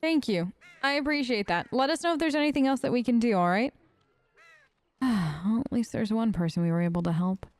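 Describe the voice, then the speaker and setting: A woman's voice: fairly high pitch, expressive, brisk, dry recording. A woman hosting a gaming video, role-playing her side of the dialogue in a chatty, wry, informal way. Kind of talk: let's play role-play, casual in-character dialogue.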